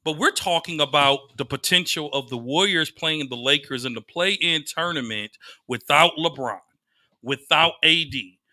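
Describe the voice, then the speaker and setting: A man with a loud voice co-hosting a podcast, teasing and arguing about basketball. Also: on the high side, a little slow. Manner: teasing, sarcastic interjections. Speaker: podcast co-host. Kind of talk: heated sports debate.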